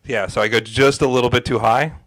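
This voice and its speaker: A man's voice: mid-range voice, steady tone, fast talker, fairly bright tone, no room sound. A man co-hosting a podcast over a call, chatting in a laid-back, rambling way with plenty of fillers, an earbud in while he talks. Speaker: podcast co-host. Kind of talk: casual podcast chat. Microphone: remote call mic with earbud in.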